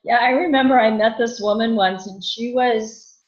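A woman guest giving casual style advice in conversation, explaining things in a matter-of-fact way. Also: moderate pace. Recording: dull, muffled low-fidelity audio.

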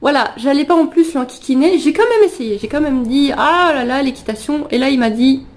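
Lady chatting to camera at home, bit of a cold, highish mellow voice, keeps interrupting herself. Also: a little fast. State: slightly ill with sniffles. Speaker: animal vlogger. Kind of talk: casual personal storytelling to camera. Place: living room at home, indoors.

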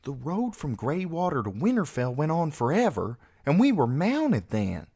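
Feisty teenage girl, played by a man, argumentative and insistent, pitched high, for a storybook. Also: huge pitch swings, normal speed, darkish tone, slightly rough, heavily acted. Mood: exasperated.

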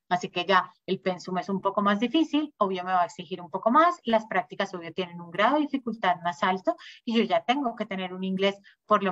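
A woman's voice: mid-range voice, a bit bright, dry recording, muffled recording. A woman presenting study abroad programs in an upbeat, informative sales talk. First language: Spanish. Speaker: school sales manager presenting. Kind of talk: webinar presentation.

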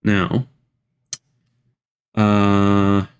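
A man's voice: lowish voice, completely flat delivery, extremely slow, clean tone, muffled recording. A man hosting a watch-along with casual, dry, critical commentary, close to the mic.

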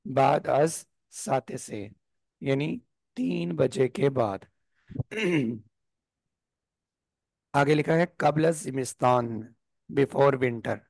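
Male teacher with a sore throat, gravelly and unhurried, on a dry video call. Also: medium pitch, a little mellow, no room sound. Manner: slow explanatory, frequent throat clearing. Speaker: Persian teacher. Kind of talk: online class lesson.

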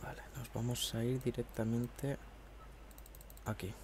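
A man's voice: mid-range voice, level tone, unhurried, a little mellow. Guy with a cold gaming at home, chatty and sweary, faint hum behind. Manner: chatty, swears casually, talks to himself while playing. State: has a cold, throat a bit hoarse. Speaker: gameplay commentator. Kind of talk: casual gameplay commentary. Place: at home in a room.

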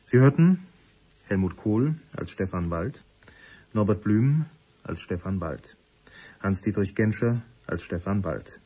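Male radio announcer, measured scripted delivery, fairly deep pitch, dark tone. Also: husky. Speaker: radio announcer reading closing credits.

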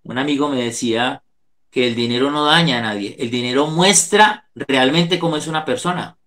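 A man's voice: mid-range voice, normal speed, a bit bright. A middle-aged man with a Colombian accent, speaking earnestly in a preacher-like way as he gives a devotional talk over a video call. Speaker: psychologist giving a talk. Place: indoor room, on a video call. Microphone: video call microphone.

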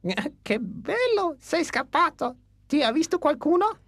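A woman's voice: high voice, very animated, a little slow, dry recording, full character voice. A woman voicing a young, cautious and fretful flying dinosaur in a dubbed cartoon. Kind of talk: Italian cartoon dub.

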